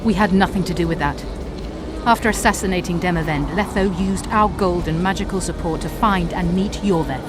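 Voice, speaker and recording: A woman acting a haughty, scheming sorceress over loud music. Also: lowish voice, fairly quick, close to an everyday voice. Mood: bitter, insistent. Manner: imperious, disdainful, theatrical. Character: haughty scheming sorceress, bitter, menacing and contemptuous. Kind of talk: dramatic video game acting.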